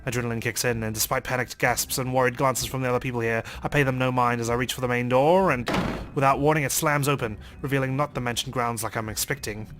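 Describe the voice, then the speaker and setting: A man's voice: mid-range voice, fairly even intonation, fast talker, a bit bright. A man hosting a let's play, reading visual novel narration aloud in a theatrical, in-character way. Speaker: let's play host reading game text. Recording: voice over game music.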